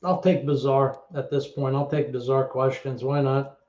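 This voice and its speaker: A man, telling stories as a guest in a casual interview chat. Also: medium pitch. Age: 30s. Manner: animated storyteller with quick asides. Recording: echoey lo-fi call audio.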